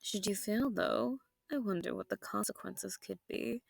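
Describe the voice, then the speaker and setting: Woman acting a creaky, dominant ancient vampiress. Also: medium pitch, unhurried, no room sound. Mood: taunting, amused. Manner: dominant, silky, taunting, slipping into breathy whispers. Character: sadistic, possessive female vampire lord, centuries old. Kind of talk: intimate scripted audio roleplay addressed to the listener.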